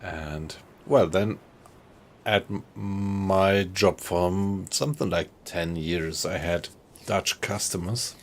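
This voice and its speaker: A middle-aged man from Germany with a German accent, chatting in a friendly, halting way with viewers on a live stream. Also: deep voice, a little hiss or hum. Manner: thoughtful, friendly, halting with fillers.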